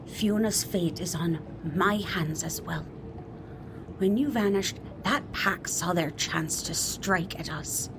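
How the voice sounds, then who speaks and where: A woman playing a grieving, stern leader of the Sirens in a tabletop roleplay, speaking in a measured, grave way. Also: lowish voice, noisy background.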